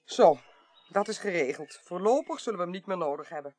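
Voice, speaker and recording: A woman playing a practical, brisk and quietly wry professional in a radio drama. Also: fairly low pitch, expressive, slight background noise, lightly acted. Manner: brisk, organising, polite. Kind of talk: 1980s Dutch radio drama acting.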